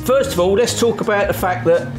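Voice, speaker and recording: A man's voice: sits high, a little fast. A middle-aged man chatting and joking as a co-host, still a bit chesty and nasal after an illness. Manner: chatty, jokey banter. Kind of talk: casual workshop chat. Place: cluttered car workshop. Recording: echoey workshop with music.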